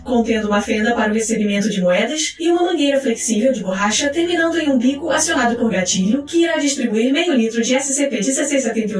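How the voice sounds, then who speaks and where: A woman narrator reading scripted case files in a formal, clinical, measured manner. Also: a little high. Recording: roomy echo with music underneath. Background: music.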